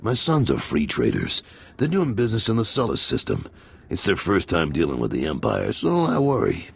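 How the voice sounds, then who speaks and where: Game role: gruff middle-aged crime lieutenant. Rock-bottom pitch, heavy gravel, businesslike. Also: male voice, wildly swooping pitch, normal speed, heavily acted. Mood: worried, wry. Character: gruff, world-weary male gangster boss, middle-aged father. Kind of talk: video game voice acting.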